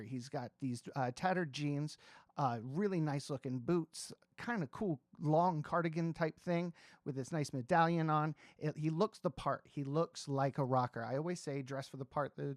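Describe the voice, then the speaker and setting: A man's voice: on the high side, no room sound. American man giving reaction commentary, dry sound. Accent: standard American. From United States, raised in New Jersey. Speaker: singer and vocal coach reacting to auditions.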